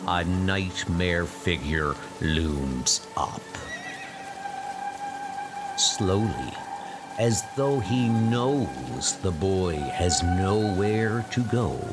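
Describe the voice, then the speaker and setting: A man narrating a horror comic story in a dramatic, scripted storytelling style. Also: low-pitched. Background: music.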